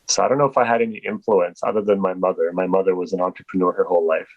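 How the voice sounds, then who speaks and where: A man's voice: deep voice, steady tone, racing, dry recording, muffled recording. A Polish-born man answering interview questions in a rambling, casual way with many restarts. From Poland. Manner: rambling, full of restarts and fillers. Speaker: guest.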